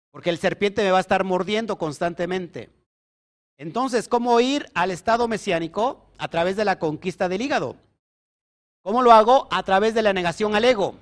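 A man teaching a sermon to a congregation, emphatic and often putting questions to his listeners. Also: high voice. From Mexico. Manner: emphatic, asks the audience questions. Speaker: preacher.

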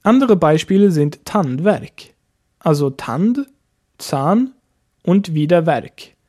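Slow, mellow man teaching. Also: fairly high pitch, expressive. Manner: slow, explains step by step. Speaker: language teacher. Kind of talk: lesson narration.

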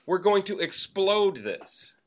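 A man's voice: high-pitched, very animated, slow pace. A man hosting a podcast, telling a wry, chatty story as he sets up a question for his guest. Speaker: podcast host. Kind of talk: casual conversational interview.

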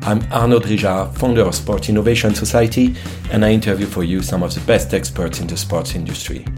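A man with a fairly low voice hosts a sports business podcast. He opens with a formal introduction and then asks polite questions. Faint music plays behind him. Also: steady tone, brisk. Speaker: podcast host. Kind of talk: scripted intro and interview questions.

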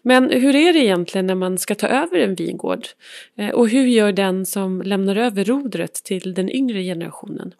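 A woman's voice: medium pitch, expressive, brisk, vocal fry, a little mellow, dry recording, studio quality. A woman hosting a podcast, introducing and questioning a guest with short, friendly prompts. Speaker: podcast host. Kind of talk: podcast interview.

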